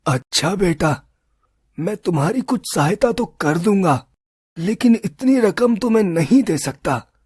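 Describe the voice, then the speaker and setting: An actor voicing an old village uncle who speaks in a gentle, fatherly, measured way in an animated storybook. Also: high-pitched, breathy edge, dry recording, studio quality, full character voice. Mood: advising.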